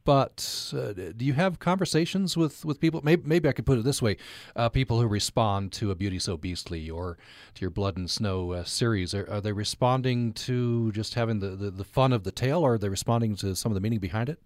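A man hosting a conversational radio interview, speaking haltingly and repeating words as he forms his thoughts. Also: on the low side, no echo, clean studio sound. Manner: halting, repeats words while forming questions.

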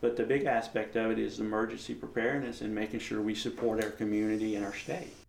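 A man's voice: fairly low pitch, little pitch movement, fast talker, creaky, darkish tone, a little hiss or hum. A man talking casually and plainly as an amateur radio volunteer being interviewed. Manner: informal, plainspoken. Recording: roomy, echoing interview recording.

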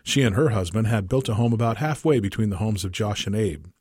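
Low, husky male story reader. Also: expressive, a little fast, darkish tone, no echo, clean studio sound. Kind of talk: scripted short story narration.

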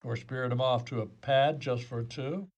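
A man plays a police detective in a radio play, with a mid-pitched voice. He is dry and skeptical, weighing his words in a measured, businesslike manner. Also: steady tone, darkish tone, dull audio, lightly acted. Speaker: actor in a community radio play.

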